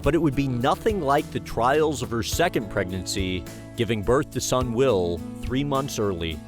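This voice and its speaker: Give me a man reading scripted documentary narration over clear background music. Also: medium pitch, animated, normal speed. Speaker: host, narrator.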